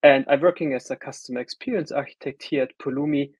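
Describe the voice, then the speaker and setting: A man's voice: mid-range voice, fast talker, breathy edge, no echo. A middle-aged man with a German accent presenting a technical webinar in a conversational way, with frequent fillers and restarts.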